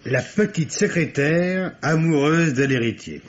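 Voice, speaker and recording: A very lively male voice as an eager, cheeky police assistant in a radio mystery. He chats deferentially to his boss, on a muffled old recording. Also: fairly high pitch, a little slow. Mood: cheeky, amused. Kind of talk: French radio mystery play acting.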